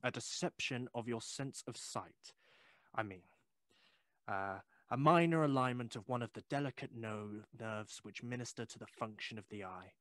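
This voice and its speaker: An actor playing a sceptical, rational Victorian gentleman in an audio drama, speaking in a formal, measured and insistent way. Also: mid-range voice, dry recording, lightly acted. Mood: reasoning, reassuring. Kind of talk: period audio drama acting.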